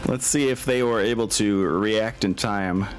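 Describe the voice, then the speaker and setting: A man's voice: lowish voice, measured pace. A man acting as game master, casually narrating and thinking aloud in a hesitant way, with music behind him.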